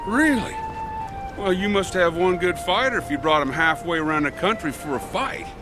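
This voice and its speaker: A man's voice: sits high, moderate pace, over music, close to an everyday voice. An actor playing a wisecracking, slightly sinister male stranger who teases in a sly way, in a radio drama. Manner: teasing, sly. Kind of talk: 1960s-style radio drama acting.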